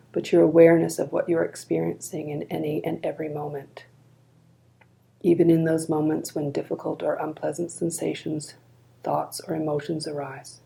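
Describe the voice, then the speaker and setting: Woman doing a slow guided body scan, really gravelly vocal fry, soft mellow tone, calm and gentle. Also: deep voice, rough recording. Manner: calm, gentle, unhurried instruction. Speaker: meditation guide.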